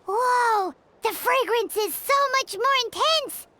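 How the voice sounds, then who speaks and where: A woman voicing a small, excitable childlike fairy companion in a video game, with a squeaky voice and exclamatory bursts. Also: slow, slight background noise, full character voice. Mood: alarmed.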